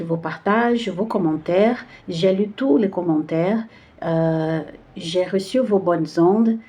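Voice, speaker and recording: A woman's voice: on the low side, mellow. A woman from Brazil speaking French with a Brazilian Portuguese accent, giving a casual card-reading video and often hesitating to find the right words. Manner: hesitant, searching for words with many fillers. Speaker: tarot card reader.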